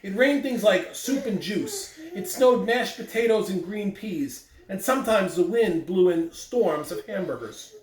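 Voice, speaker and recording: A man's voice: high-pitched, lively intonation, unhurried, hardly any pauses. A man speaking simply and directly to children, as in school morning announcements and story read-alouds. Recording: echoey room, distant mic, faint noise.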